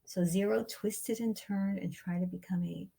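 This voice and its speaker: A woman reading a picture book aloud as a storyteller, with expressive, deliberate and dramatic phrasing for young listeners. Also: medium pitch, creaky, breathy edge, rough recording. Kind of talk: expressive children's read-aloud narration.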